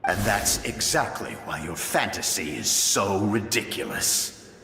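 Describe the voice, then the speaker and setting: A man's voice: lowish voice, expressive, bright, forceful tone, a little hiss or hum. A man voicing a menacing, scornful warrior spirit in a video game, taunting as he talks. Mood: cold contempt. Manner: slow, taunting. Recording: echoey, long reverb, music behind.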